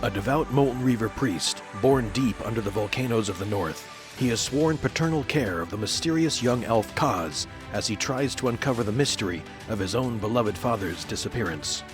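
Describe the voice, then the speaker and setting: A man's voice: fairly low pitch, animated, moderate pace. A man reading dramatic scripted narration in a grand, epic storytelling manner. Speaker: narrator of character introductions. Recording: voice over prominent music. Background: music.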